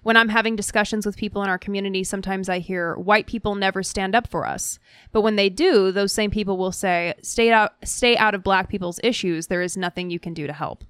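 Smooth-voiced woman podcast host, dry close sound. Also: medium pitch, steady tone, a little fast, fairly bright tone, dry recording. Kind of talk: reading listener emails aloud.

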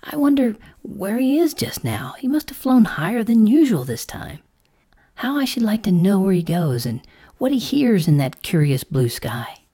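Storybook caterpillar , played by a woman: mild, fretful little female creature, raised lilting pitch, earnest and exclamatory. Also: big pitch swings, moderate pace, dark tone. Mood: wondering. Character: mild, anxious green caterpillar, female, kind but indignant. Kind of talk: storybook character voice.

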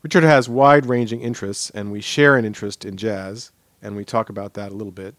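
A man's voice: fairly low pitch, steady tone, fast talker, a bit bright, no room sound, clean studio sound. A middle-aged man hosting an interview podcast, moving between prepared introduction and conversational questions. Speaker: podcast host interviewing a guest.

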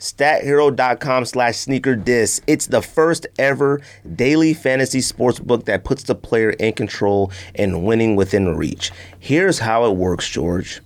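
A man's voice: mid-range voice, a little slow, few pauses. Slangy man hosting a podcast. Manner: slangy, often addressing the listener as B or fam. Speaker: podcast host. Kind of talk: casual ad read with off-the-cuff riffs.

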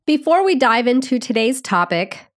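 A woman's voice: on the high side, big pitch swings, moderate pace. A woman with a nasal, congested voice from a cold, teaching as a nursing podcast host in a conversational, friendly, explanatory way.

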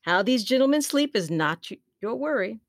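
Read by a woman, an earnest man urges calm in a measured, steady way in a storybook reading. The sound is dry and close. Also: on the high side, dry recording, pitched above the performer's own voice. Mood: urging.

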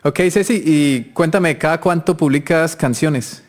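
A man hosting a music production podcast, asking questions in a relaxed, friendly, chatty way. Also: fairly high pitch, measured pace. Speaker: podcast host. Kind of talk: casual interview.

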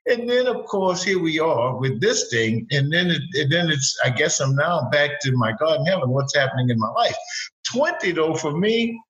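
Animated man in his seventies, echoey room. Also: a little high, moderate pace, dull audio. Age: 70s. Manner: animated storytelling, repeats himself, exclamations. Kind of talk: casual group video chat. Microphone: video call.

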